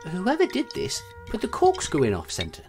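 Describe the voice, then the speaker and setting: A woman's voice: lowish voice, huge pitch swings, moderate pace, over music. A woman playing a determined constable who talks bluntly and reasons things out aloud.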